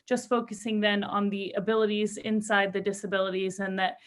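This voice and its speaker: A woman's voice: mid-range voice, little pitch movement, brisk. A young woman speaks as a footballer in an interview, with a mid-range voice. Her answers are casual and conversational, delivered in an upbeat, earnest manner. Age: young adult. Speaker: professional footballer being interviewed.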